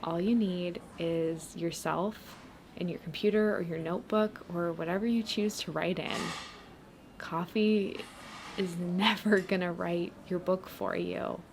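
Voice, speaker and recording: Slow-talking, supportive friend played by a woman. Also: fairly low pitch. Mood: gentle, encouraging. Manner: hesitant, gentle, encouraging, chuckling softly between phrases. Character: supportive, gently teasing writer friend giving a pep talk. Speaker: ASMR roleplayer. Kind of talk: ASMR roleplay, casual one-sided chat. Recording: clear steady background noise, ambience.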